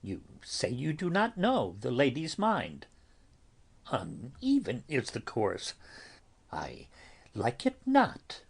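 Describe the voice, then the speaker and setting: Man acting older friar, grave and uneasy, stage verse, slow deliberate halting pace, breathy rough voice. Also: medium pitch, huge pitch swings, heavily acted. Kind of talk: Shakespearean dramatic reading.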